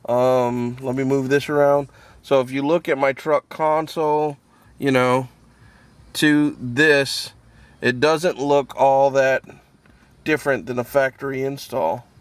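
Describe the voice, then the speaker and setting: A man giving a casual, unscripted product review, halting as he thinks aloud and repeats words, in a matter-of-fact, mildly critical way. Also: mid-range voice, fairly even intonation, clean tone, no echo. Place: inside a truck cab.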